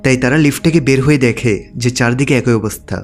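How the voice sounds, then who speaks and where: Guy doing scripted movie recap narration, talks quick, medium pitch, faint music under it. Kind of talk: scripted narration of a film plot.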